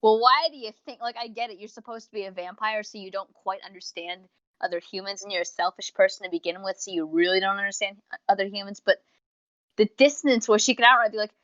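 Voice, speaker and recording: A woman's voice: mid-range voice, steady tone, fast, fairly bright tone, no echo, highs cut off. A woman co-hosting a casual review podcast, explaining her opinions emphatically. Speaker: podcast co-host reviewing audio series.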